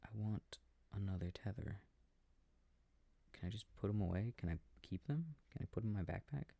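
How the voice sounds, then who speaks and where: Affectionate boyfriend, played by a man, for sleep-aid ASMR roleplay: breathy, gravelly, soft rambling murmur, very close and dry. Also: fairly low pitch, lively intonation, normal speed, no echo. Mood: absorbed, mildly puzzled. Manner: murmured, rambling, gently bemused. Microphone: close microphone.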